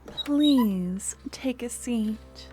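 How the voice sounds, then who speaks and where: A woman performs a sweet, possessive young woman merchant in an ASMR audio roleplay. Her voice is mellow and unhurried, soft and close. She often sinks into a hushed whisper. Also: fairly high pitch, soft background music. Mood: doting. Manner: soft, intimate, slips into whispers.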